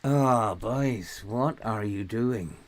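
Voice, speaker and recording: An older man from England with a hoarse, failing voice, commenting casually on a football management game and muttering to himself as he plays. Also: fairly low pitch, extremely slow. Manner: muttering, talking to himself while playing. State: losing his voice, hoarse. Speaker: gaming YouTuber.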